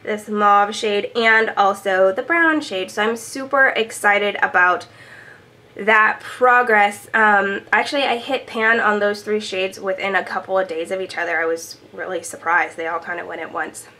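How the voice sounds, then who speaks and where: A woman's voice: medium pitch, steady tone, moderate pace, bright, forceful tone. A young woman talking to camera in a small room at home, chatty and upbeat with plenty of ums, sounding a bit congested from a cold. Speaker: beauty vlogger. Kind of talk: casual product update to camera.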